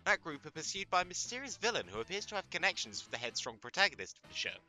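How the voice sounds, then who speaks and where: Animated, very bright-voiced man, sarcastic commentator. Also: on the high side, rapid-fire. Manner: sarcastic, mock-exasperated, sweary. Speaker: host of an anime commentary video channel. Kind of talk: scripted comedic commentary. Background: music under the voice.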